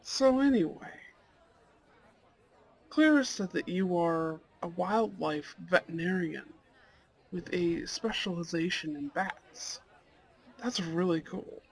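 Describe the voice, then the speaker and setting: Shy, courteous goth boyfriend type played by a man, creaky and unhurried, romantic audio roleplay with faint chatter behind. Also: high voice, exaggerated voice, low-quality recording. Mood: friendly, enthusiastic. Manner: hesitant, soft-hearted, nervous little laughs. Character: shy, polite, dorky young goth man on a blind date.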